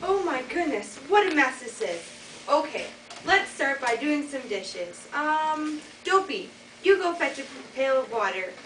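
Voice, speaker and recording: Teen girl as a bossy cheerful princess, high and lively voice, echoey room. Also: unhurried, heavily acted. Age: teens. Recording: echoey room from far off, noisy.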